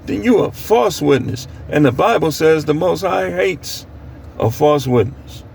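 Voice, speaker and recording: American man, creaky voice, informal scripture preaching, admonishing, repetitive emphasis, faint outdoor noise. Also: on the high side, animated, unhurried, darkish tone. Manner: admonishing, plain-spoken, repeats phrases for emphasis. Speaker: street preacher.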